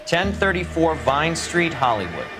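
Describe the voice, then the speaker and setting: An actor playing a knowledgeable police evidence technician in a video game, explaining things clearly to detectives. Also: male voice, fairly high pitch, big pitch swings, a little slow, music in the background. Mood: helpful, informative.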